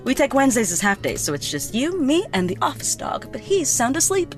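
A woman's voice: sits high, huge pitch swings, brisk, over music, heavily acted. A woman playing a breezy, confident, helpful character in a naturalistic audio drama.

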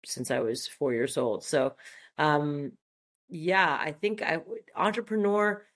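A woman's voice: sits low, slow pace. A woman in her forties from Montreal, a native French speaker, talking enthusiastically as an interview guest with many fillers and restarts. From Montreal, Canada. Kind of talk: casual interview conversation.

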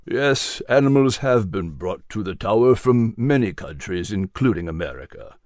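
A narrator voicing a calm, wise and kindly male guide in a children's audiobook. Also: low-pitched, normal speed, dry recording, clean studio sound, pitched below the performer's own voice. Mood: reassuring.

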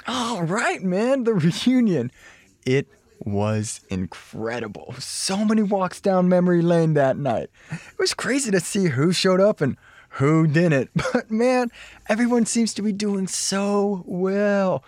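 A man's voice: sits high, big pitch swings, takes it slowly, darkish tone, no echo, heavily acted. A man acting a laid-back, chummy new-age yoga teacher in his late twenties in a radio drama, slangy and effusive. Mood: nostalgic, upbeat. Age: late 20s.

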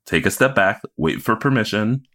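A man's voice: fairly low pitch, animated, normal speed, fairly bright tone. A man co-hosting a podcast, chatting casually and analytically about television with emphatic opinions. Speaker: podcast co-host. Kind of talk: casual TV recap chat.

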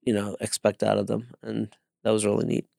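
A man co-hosting a casual podcast, soft-spoken and trailing off. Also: fairly low pitch, completely flat delivery, brisk, creaky, dry recording, studio quality. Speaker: podcast co-host.

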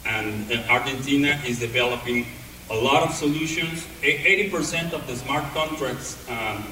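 Bright-voiced man with an accent, echoey hall. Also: medium pitch, distant mic, slight background noise. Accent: non-native English. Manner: halting, many pauses and restarts. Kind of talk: presentation to an audience.

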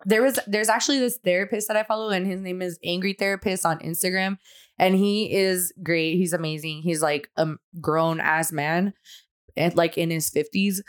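A woman's voice: fairly low pitch, fairly even intonation, moderate pace. A woman in casual podcast chat, slangy and emphatic, often acting out thoughts as she explains a point. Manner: slangy, emphatic, explains through imagined self-talk. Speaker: podcast co-host.

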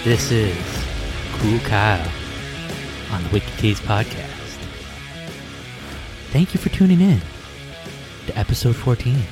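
A man co-hosting a casual wrestling podcast, relaxed and agreeable, chatting with his co-host. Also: on the low side, takes it slowly, pauses often, music in the background. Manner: relaxed, agreeable, reads lists of services evenly.

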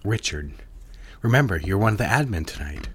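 An actor playing a smooth, commanding married advertising executive of the 1960s in a romantic audio drama, speaking in an intimate, instructive way. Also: male voice, sits low, lively intonation, a little fast. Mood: businesslike, instructive.

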